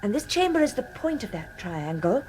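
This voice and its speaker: An actress playing a headstrong, indignant woman doctor in a radio drama, with a British accent and crisp, defiant delivery. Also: mid-range voice, big pitch swings, normal speed, darkish tone, slight background noise, lightly acted, poor audio. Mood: intent.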